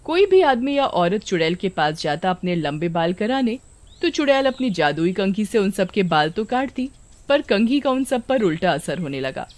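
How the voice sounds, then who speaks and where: A woman reading scripted narration for a children's story. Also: medium pitch.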